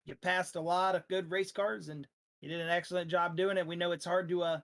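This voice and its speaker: A man who is losing his voice, interviewing drivers after a sim race with energetic, rambling talk full of fillers. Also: high-pitched, fairly quick. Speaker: sim racing league broadcaster.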